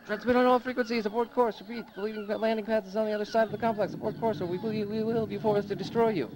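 A man's voice: high voice, fairly even intonation, fast talker, noisy background, voice pushed up, lightly acted. A man voicing an anxious prison guard on a space colony, urgently barking orders. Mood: urgent, alarmed.

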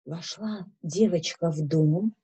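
A woman reads to children with a slow, careful delivery. Her voice is mellow and slightly husky, expressive and instructive throughout. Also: lowish voice. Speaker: children's story reader. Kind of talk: storytelling to children. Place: small indoor room with bookshelves.

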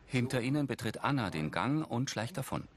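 A man's voice: medium pitch, big pitch swings, a little fast, crowd noise. A man reading scripted audio description for a TV film in a matter-of-fact way.

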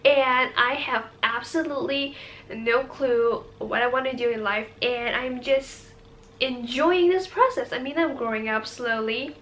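A woman's voice: sits high, a little slow, a bit bright. An eighteen-year-old woman with a Hong Kong accent, a native Cantonese speaker, chatting casually and a little hesitantly to camera as a vlogger. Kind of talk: casual chit-chat to camera.

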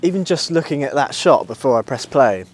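A man's voice: medium pitch, animated, a little fast. A British man in his thirties chatting casually to camera as a vlog host, musing in an easygoing, enthusiastic way. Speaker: motorcycle reviewer, channel host. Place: outdoors at a table outside a country pub. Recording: clear outdoor ambient and vehicle noise.

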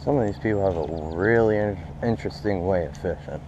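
A man's voice: sits low, fairly quick, muffled recording, noisy background. A young American man chatting casually to viewers as a fishing vlogger, informal and recorded outdoors on an action camera. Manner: informal, addresses viewers as guys. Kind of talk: casual vlog chat.

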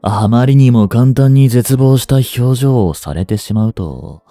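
A young man in his twenties playing a treacherous, smooth-tongued captive prince and spy in a Japanese audio drama, with courteous, theatrical speech that can turn mocking. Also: mid-range voice, warm tone, dry recording, studio quality, natural voice. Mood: mocking, cruel. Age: 20s. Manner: slow, theatrical, courteous speech slipping into taunts. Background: background music.

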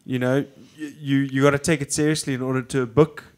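A man's voice: medium pitch, little pitch movement, darkish tone. A man, hosting a casual chat in a living room, slightly nasal from bronchitis and often repeating words as he thinks. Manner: hesitant, repeats words, drawn-out phrasing. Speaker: podcast host.

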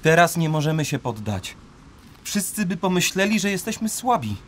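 A man's voice: a little high, big pitch swings, moderate pace, a little hiss or hum. A man acting in a radio play as a proud, anguished warrior prince, intense and dramatic. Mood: defiant.

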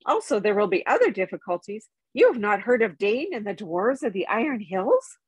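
A woman reading a storybook, playing a small, fussy, polite male hobbit, nervous but plucky, with a squeaky voice and chatty, hedging manner. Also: big pitch swings, normal speed, husky, no room sound. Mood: earnest, persuasive.

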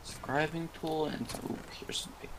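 A man's voice: on the high side, normal speed, noisy background. A young man chatting casually while playing a game, swearing and laughing often. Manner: excitable, swears, yells and laughs. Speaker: gamer co-host. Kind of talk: casual gameplay chat.

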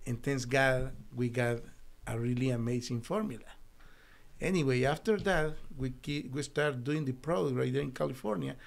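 A middle-aged man from El Salvador with a Spanish accent, telling his story as an interview guest in halting, earnest English in a small studio on a desk mic. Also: medium pitch, vocal fry. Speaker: guest, naturopathic doctor. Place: small studio with foam wall panels. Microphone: desk podcast mic.

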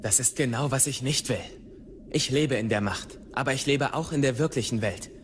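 An actor playing a restless, frustrated, headstrong young Jedi in a radio play. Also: male voice, mid-range voice, normal speed, background noise, lightly acted. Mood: resentful, earnest.

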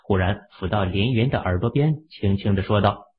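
Male narrator, low voice, animated delivery, audiobook, tinny phone-like sound. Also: a little fast. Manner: expressive storytelling.

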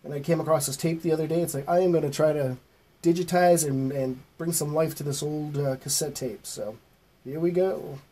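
Mellow man giving a folksy studio tutorial. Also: a little high, fairly even intonation, fairly quick, a little hiss or hum. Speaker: host of music recording tutorial. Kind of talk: informal step-by-step tutorial narration. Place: small home studio with acoustic foam.

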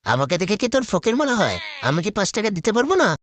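Hot-headed, miserly husband for a comedy cartoon; argumentative, wildly animated intonation. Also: male voice, high voice, very fast. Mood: haggling. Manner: indignant, argumentative, exclaiming. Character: hot-headed, stingy village husband who haggles and snaps at everyone. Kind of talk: comic cartoon acting in Bengali.

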